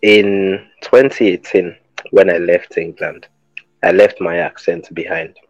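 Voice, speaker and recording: A man from Nigeria, a podcast co-host, telling stories in a relaxed, jokey way. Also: low voice, measured pace, vocal fry, no room sound, soft background music. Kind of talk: casual podcast chat. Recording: dull, dry lo-fi sound.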